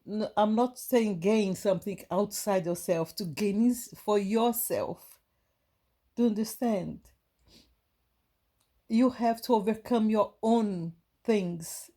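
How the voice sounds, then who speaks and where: A woman from Brazil with a Brazilian Portuguese accent, giving a gentle, halting inspirational talk to her viewers with many restarts. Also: mid-range voice. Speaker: spiritual vlogger.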